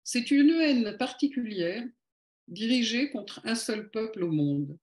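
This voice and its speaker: A woman's voice: a little high, animated, slow pace, a little mellow. A woman giving a polemical lecture at an evening meeting, with sardonic, ironic asides.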